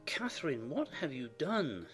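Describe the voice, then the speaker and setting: A woman voicing a stern, anguished gentleman master of the house in a formal, reproachful manner, for a dramatized classic novel. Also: deep voice, sing-song, unhurried, pitched below the performer's own voice. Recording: music behind the voice.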